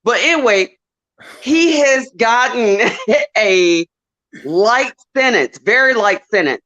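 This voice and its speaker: Southern woman caller, emotional and laughing, slow and swooping delivery. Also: fairly high pitch, lively intonation, a bit bright, lo-fi sound. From Southern United States. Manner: laughs often, emotional and chatty. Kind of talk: casual call-in chat.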